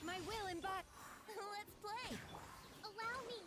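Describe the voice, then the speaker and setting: A woman's voice: extremely high pitch, unhurried. An actress voicing a poised young female fighter calling out short, dramatic attack lines in an anime video game. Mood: poised, resolute.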